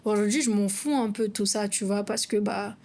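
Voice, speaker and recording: A woman's voice: mid-range voice, fairly even intonation, a little fast, a little mellow. Frank woman with a Malagasy accent. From Madagascar. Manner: frank and outspoken, conversational. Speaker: podcast co-host, content creator. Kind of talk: casual podcast chat.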